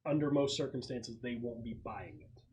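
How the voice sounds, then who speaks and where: A man's voice: medium pitch, moderate pace, a little mellow, slight background noise. A man acting as game master, explaining a scenario to players in a conversational way.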